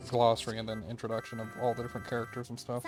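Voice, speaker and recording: A man's voice: mid-range voice, completely flat delivery, racing, music in the background. A man hosting a game review video with casual, tentative, unscripted commentary, thinking aloud as he goes.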